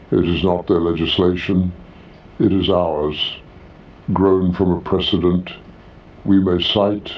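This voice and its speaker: A man playing an ancient, solemn alien court senior who speaks in formal, measured declarations in a science fiction audio story. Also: sits low. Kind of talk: audio drama character acting. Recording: distant, dull, echoing voice.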